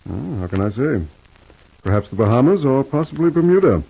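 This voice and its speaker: Casting: suave, patronizing American tycoon, radio soap, husky low voice, muffled vintage sound. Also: male voice, big pitch swings, fairly quick, full character voice. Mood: condescending, needling. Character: smooth, wealthy, condescending estate owner. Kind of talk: 1950s radio soap opera acting. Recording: muffled old radio recording.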